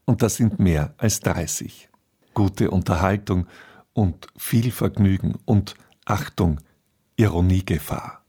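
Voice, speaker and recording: A man's voice: sits low, slow pace, warm tone, slightly rough. A man presenting on radio, reading a scripted introduction to a programme.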